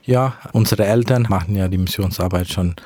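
A man's voice: deep voice, dark tone, no echo. A young man whose first language is English, answering interview questions about mission work in careful, hesitant speech. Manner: earnest, measured, with frequent hesitations. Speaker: mission worker.

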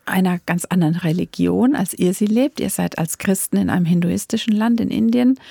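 A woman interviewer asking calm, deliberate questions. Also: medium pitch, gravelly, no room sound, studio quality.

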